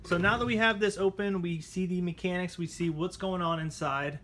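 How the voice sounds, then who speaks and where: A man's voice: high voice, fairly even intonation, moderate pace, a bit bright, faint music behind. A man hosting a casual repair tutorial, chatting informally as he talks viewers through the steps. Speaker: how-to channel host. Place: indoors at a table at home.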